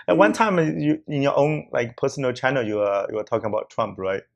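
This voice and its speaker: Guy hosting a chill podcast, gravelly voice, laughs a lot, keeps saying yeah. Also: mid-range voice, very animated, a little fast, lo-fi sound. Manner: chatty, laughs often, frequent "yeah" and restarts. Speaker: podcast host. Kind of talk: casual interview chat.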